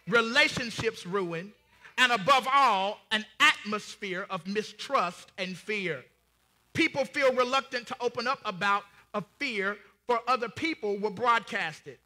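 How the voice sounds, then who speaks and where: Man acting a piercingly bright, high-voiced solemn judge. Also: full character voice. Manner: solemn, commanding. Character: solemn male heavenly judge reading scripture in judgment. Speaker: narrator reading scripture. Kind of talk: declamatory church stage play. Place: large church hall before an audience. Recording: reverberant church hall.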